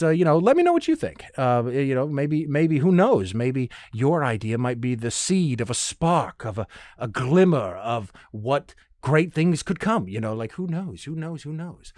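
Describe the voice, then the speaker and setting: A man's voice: mid-range voice, dry recording. Rambling male podcast host, dry sound. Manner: rambling, self-deprecating. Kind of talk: casual solo chat.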